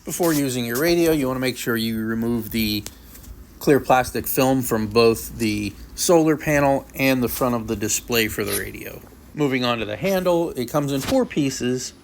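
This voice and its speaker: A man's voice: mid-range voice, lively intonation, normal speed, vocal fry, a little hiss or hum. A man with a mid-range voice presents a product review. He explains each feature and step in a plain, practical manner, as in an instructional unboxing video.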